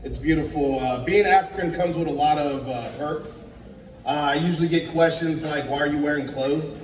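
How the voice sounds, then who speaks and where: A young man from Liberia performing a stand-up comedy set in a club, telling animated stories and acting out both sides of the dialogue. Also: on the high side, moderate pace, a little hiss or hum. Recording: echoey club sound from a distance. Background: audience laughter.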